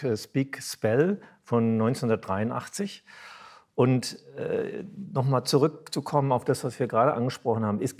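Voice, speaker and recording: A man's voice: mid-range voice, a little mellow. A man interviewing an artist on stage in formal but spontaneous speech, hesitating and searching for words as he asks long questions. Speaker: interviewer and concert host. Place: spoken before an audience at a concert venue.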